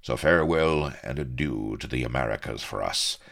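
A man voicing a grim, shrewd pirate captain with rough rustic sea-dog speech in an audiobook. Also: rumbling low, moderate pace, fairly bright tone, full character voice. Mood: thoughtful, cynical.

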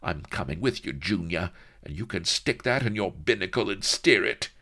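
A man voicing a haughty, jealous high-bred English lady who scolds indignantly. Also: lively intonation, moderate pace, sharp tone, exaggerated voice.

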